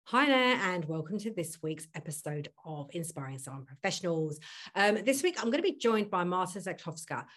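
A middle-aged woman hosting a podcast, chatty and self-deprecating, sounding a little husky today. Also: fairly low pitch, big pitch swings, fairly quick, a bit bright. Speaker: podcast host. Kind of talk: podcast intro and casual chat.

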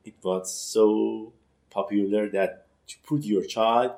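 Hesitant low-voiced man in his thirties. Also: steady tone, warm tone, reverberant. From Iran. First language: Persian. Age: 30s. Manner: halting non-native English with many restarts. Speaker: musician guest. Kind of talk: casual interview chat.

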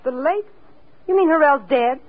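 A woman playing a tough, cagey, scheming secretary in a 1940s radio crime drama, sharp-tongued, curt and guarded. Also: high-pitched, expressive, moderate pace. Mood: defensive, curt. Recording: tinny, noisy old radio recording.

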